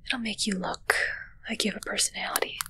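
A woman playing a haughty, fussy witch in a whispered ASMR roleplay, murmuring slowly and condescendingly close to the mic. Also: medium pitch, fairly even intonation, close to an everyday voice. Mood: musing, fussy. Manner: breathy, slow, condescending murmur. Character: haughty, fussy female witch tending her undead familiar. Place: small dark indoor room with curtains. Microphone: close ASMR microphone.

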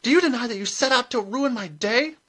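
A narrator voicing a haughty, brittle female witch with a shrill, indignant manner. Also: huge pitch swings, brisk, breathy edge, full character voice. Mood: shrieking rage. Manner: forced brightness, indignant.

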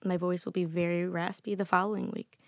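A woman in her twenties, a singer, talking candidly in a casual podcast interview. Her voice is slightly raspy, and she talks in an animated way and laughs often. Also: lowish voice, brisk, no echo, clean studio sound. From United States. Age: 20s. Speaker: guest singer. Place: small studio with colored lights and a table. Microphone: studio mic on a desk stand.

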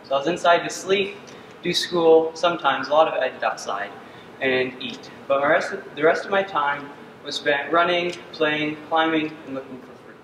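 A young man from Uganda gives an earnest student presentation, his slightly high voice dotted with ums. He is picked up from a distance in a large hall with a long, roomy reverb. Steady ambient noise sits clearly under him. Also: normal speed. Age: young adult. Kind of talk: senior capstone presentation. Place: dark stage in a hall.

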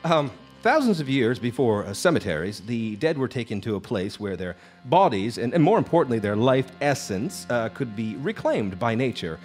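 An actor playing a nervous, evasive labor company representative in a live radio drama, stammering and officious. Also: male voice, mid-range voice, big pitch swings, normal speed, hardly any pauses, natural voice. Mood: cautious explaining. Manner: stammering, hesitant, apologetic and officious. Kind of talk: live old-time radio drama acting. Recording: live stage performance with background music. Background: music.

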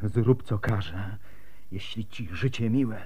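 An actor playing a desperate, devoted soldier husband with a temper, earnest and intense, in a Polish radio drama. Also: male voice, fairly low pitch, takes it slowly, mellow, a little hiss or hum. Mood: desperate, demanding.

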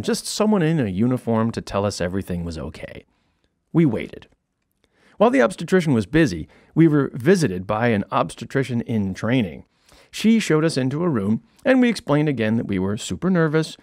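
An American man in his fifties narrates his own humorous book into a studio condenser mic. His pitch swings widely for comic emphasis, with a wry, storytelling manner. The sound is dry and close, with no room echo. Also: darkish tone. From United States. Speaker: author reading his own audiobook. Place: small home studio. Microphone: studio condenser mic with headphones.

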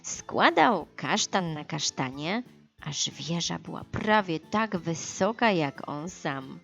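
A woman's voice: on the low side, vocal fry, highs cut off, soft background music. A woman narrating a scripted children's audiobook, telling the story expressively and without hurry. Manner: expressive, unhurried, dramatized for children.

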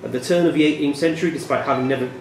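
Male quiz master, mid-pitched and measured, reading questions in an echoey, slightly noisy room. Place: indoor pub room. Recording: echoey pub room with some noise.

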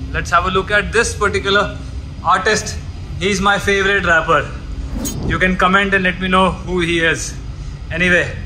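A man's voice: high-pitched, pushed and bright, slight background noise, poor audio. Chatty man touring a ship. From India. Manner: chatty, enthusiastic, restarts and fillers. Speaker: seafarer vlogger giving a ship tour. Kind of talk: casual vlog tour talk. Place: aboard a cargo ship, outdoor decks and indoor day room. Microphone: handheld camera at arm's length.

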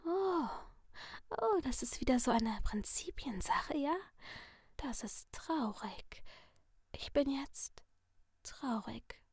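A woman voicing a sinister masked male killer in a slow, hushed, toying whisper. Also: sits high, expressive, slightly rough, dry recording, clean studio sound, heavily acted. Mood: mock sorrow.

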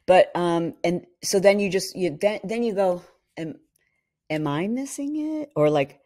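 A middle-aged woman co-hosting a casual podcast, her voice husky from a vocal cord injury, telling stories and acting out quotes. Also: on the low side, a little slow. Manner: animated storyteller, acts out quotes. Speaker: podcast co-host. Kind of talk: casual chat. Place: home studio. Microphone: studio mic on boom arm.

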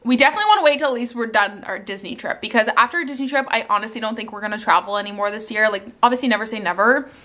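A woman's voice: on the high side, racing, few pauses, sharp tone. A young woman from Canada chatting casually on her vlog, with lots of "like" and "um", sitting on her living room sofa. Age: young adult. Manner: chatty, many "like"s and "um"s. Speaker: vlogger. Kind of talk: casual life-update vlog. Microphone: camera in front, seated.

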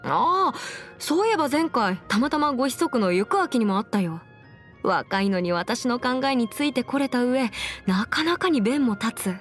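A woman's voice: on the high side, expressive, moderate pace, lightly acted. A woman voicing a confident, clever young female legal advisor in an anime game, articulate and slightly boastful, with playful pride. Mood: admiring. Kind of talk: Japanese anime game character voice lines. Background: music.

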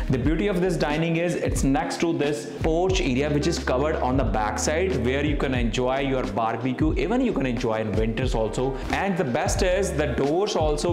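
Male property presenter, thirties, enthusiastic sales patter, mid-pitched, recorded in a reverberant house over clear background music. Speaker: real estate agent. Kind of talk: property tour presentation to camera. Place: mostly inside a bright, sparsely furnished new house. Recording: echoey empty house, music behind.

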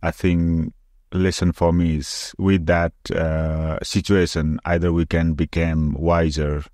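Very deep, even Nepali-accented man. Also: takes it slowly, no echo, clean studio sound. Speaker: podcast guest, former soldier. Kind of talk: casual interview storytelling. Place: small indoor room with curtains. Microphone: close podcast microphone on arm.

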